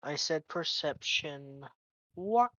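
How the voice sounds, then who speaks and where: A man's voice: on the high side, animated, sharp tone, dry recording, muffled recording. Young male player on a chat mic, dry close sound, pushy and argumentative. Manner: bantering, pushy, quick to argue. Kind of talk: casual voice chat during a tabletop game. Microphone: online voice chat mic.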